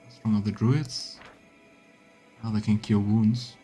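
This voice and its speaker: A man's voice: on the low side, fairly even intonation, takes it slowly, mellow, faint music behind. A man giving casual, musing let's play commentary on a video game.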